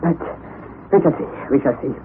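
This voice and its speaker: An actor playing an impetuous, eccentric, scholarly professor of about fifty, excitable and exclamatory, in a vintage radio drama. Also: male voice, moderate pace, natural voice. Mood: eager. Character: eccentric, impetuous middle-aged male professor. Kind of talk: 1960s radio drama acting. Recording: tinny lo-fi vintage radio broadcast with hiss.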